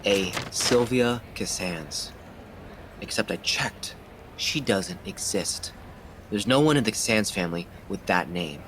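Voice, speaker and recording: A man's voice: deep voice, lively intonation, a little slow, a bit bright, slight background noise. A man acting as an earnest, methodical police detective in an audio drama. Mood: intent, reasoning.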